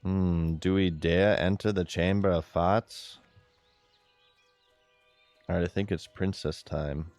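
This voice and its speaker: A man with a very low, deep voice talks casually through a game stream, giggly and relaxed as he chats with viewers. Faint music plays behind him. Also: slow, pauses often. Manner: giggly, laid-back, chatting to viewers while playing.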